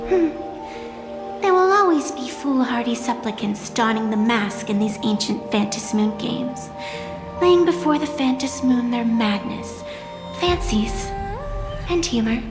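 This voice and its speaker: High-voiced, unhurried, mysterious game guide. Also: female voice, animated. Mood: intrigued, grandiose. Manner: teasing, theatrical, unhurried formal speech. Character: poised, playful, mysterious young woman guide, amused and faintly haughty. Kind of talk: scripted video game character acting. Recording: echoey, long reverb, music behind.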